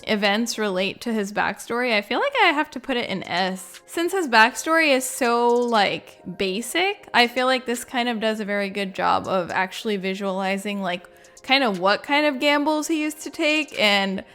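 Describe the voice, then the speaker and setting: A woman's voice: on the high side, lively intonation, normal speed, a bit bright, faint music behind. A woman giving informal, rambling reaction commentary, sprinkling in "like" as she goes. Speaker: video creator and game lore reviewer.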